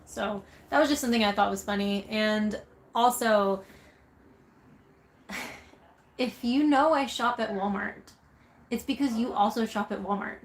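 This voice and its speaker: A woman's voice: medium pitch, unhurried, pauses often. A woman with a Southern US accent, chatting casually and candidly as a vlogger while reading out and answering viewer comments. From Southern United States.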